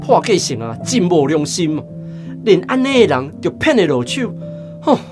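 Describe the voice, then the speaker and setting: A man with a Taiwanese accent playing a gruff, distrustful village father in a radio drama. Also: on the high side, big pitch swings, moderate pace, music in the background. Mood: indignant.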